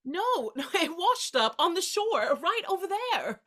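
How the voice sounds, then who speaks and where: An actress playing a playful, tender young woman in a play reading, gently teasing as she courts her friend. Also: extremely high pitch, very animated, measured pace, full character voice. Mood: excited. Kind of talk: two-hander stage play reading.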